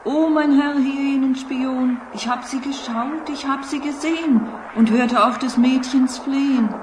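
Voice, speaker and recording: A woman playing a magical talking boomerang in a children's radio play, a dutiful servant who speaks in solemn theatrical rhyme. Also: measured pace. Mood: dramatic, eerie. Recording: echo effect with faint noise.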